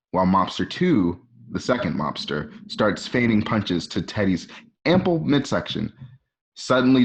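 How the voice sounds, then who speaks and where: A man reading stage directions for a radio drama in a conversational way, with casual asides. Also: on the low side. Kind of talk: informal scripted narration for radio drama.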